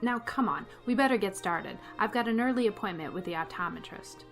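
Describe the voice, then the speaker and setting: A woman's voice: medium pitch, lively intonation, brisk, fairly bright tone, soft background music. A woman playing a wry schoolteacher in a radio sitcom, with a dry, sarcastic manner. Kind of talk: old-time radio sitcom acting.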